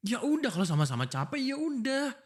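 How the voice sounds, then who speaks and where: A man's voice: very high voice, big pitch swings, fast, slightly rough, heavily acted. A man acting an exasperated, protective young fiancé in a boyfriend ASMR roleplay, pleading and scolding informally. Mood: weary, frustrated. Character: exasperated, protective young fiancé arguing before his wedding. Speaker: voice actor. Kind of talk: boyfriend ASMR roleplay, one-sided dialogue.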